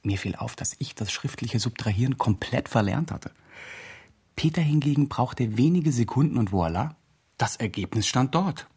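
Young guy fundraiser reporting in, eager and kinda self-important, bit rough voice, radio drama. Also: medium pitch, expressive, moderate pace, a little mellow. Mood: earnest, pleased. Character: earnest, upbeat young fundraising campaigner. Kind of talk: scripted campaign diary narration.

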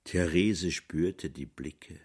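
A man's voice: deep voice, very slow, darkish tone, slightly rough. A man performing dramatic narration and commentary in a literary radio play.

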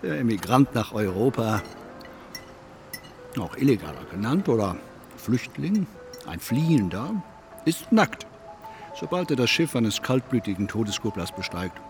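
An actor playing a cynical, coolly provocative man in a stylised radio play, smug, needling and deliberate. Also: mid-range voice, big pitch swings, a little mellow, soft background music. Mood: detached, declamatory.

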